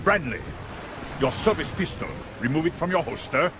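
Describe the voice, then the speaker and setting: An actor playing a smug, menacing politician villain with a smooth, mock-polite manner in an audio drama. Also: male voice, a little high, animated, moderate pace, heavy background noise. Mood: smug menace.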